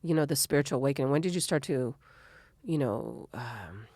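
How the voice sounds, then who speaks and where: A woman hosting a podcast interview, gushing and effusive, often halting on filler phrases. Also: extremely low pitch, lively intonation, dark tone, dry recording.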